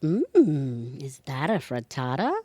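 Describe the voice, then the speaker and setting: Woman acting as sardonic middle-aged wife, dry wit, vengeful schemer, lively swooping intonation, close dry sound, radio comedy. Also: a bass voice, slow, dry recording, heavily acted. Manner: dry, wry, animated. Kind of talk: audio theatre comedy acting.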